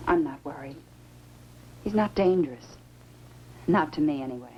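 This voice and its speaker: An actress playing a composed, serious woman scientist with a measured delivery, in 1950s science fiction film style. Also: low voice, expressive. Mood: quietly assured. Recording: dull, hissy 1950s film soundtrack.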